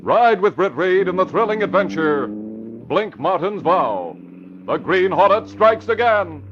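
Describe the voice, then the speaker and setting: A man's voice: high-pitched, big pitch swings, measured pace, background noise. An American man narrating a 1940s radio crime serial in an emphatic, theatrical way. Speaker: radio show announcer and narrator. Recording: dull old radio recording, music behind.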